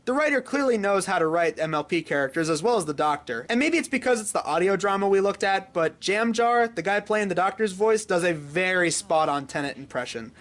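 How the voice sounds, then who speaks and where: Mid-pitched reviewer reading a scripted recap. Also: lively intonation, a little fast, few pauses, fairly bright tone.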